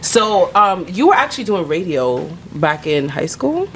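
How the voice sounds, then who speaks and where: A woman's voice: on the low side, sing-song, slight background noise, rough recording. A woman hosting a podcast in a casual, chatty way, asking questions and backing the guest with "mm-hmm" and "right". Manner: chatty, quick back-channel "mm-hmm" and "right". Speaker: podcast host. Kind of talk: casual interview chat.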